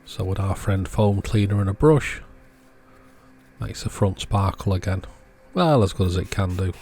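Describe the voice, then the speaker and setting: A man's voice: sits low, animated, normal speed, darkish tone. A man giving an informal how-to voice-over in short, matter-of-fact sentences, as a repair channel host.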